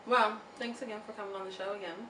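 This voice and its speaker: A woman's voice: medium pitch, fast. Chatty woman host in her thirties. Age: 30s. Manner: upbeat, chatty, lively bursts of excitement. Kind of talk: casual interview chat. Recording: echoey room from far off, faint noise.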